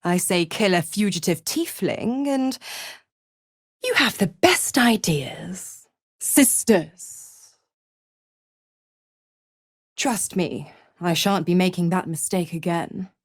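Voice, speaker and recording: A woman's voice: fairly low pitch, expressive, takes it slowly, long pauses, dry recording, studio quality. An actress playing a seductive, gloating female devil in a video game, purring with theatrical, mocking condescension and savoring her words. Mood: mocking, scheming. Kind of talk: scripted video game character acting.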